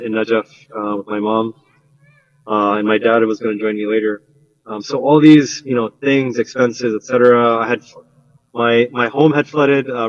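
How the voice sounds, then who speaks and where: A man on a community panel telling a personal story in an earnest, halting way with many fillers. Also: mid-range voice, muffled recording.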